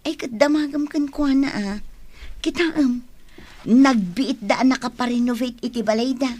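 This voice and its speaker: A woman's voice: fairly high pitch, moderate pace, a little mellow, natural voice. A woman playing a gossipy, envious village wife in a radio drama, speaking with an Ilocano accent in a prodding, exclamatory way. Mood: nagging.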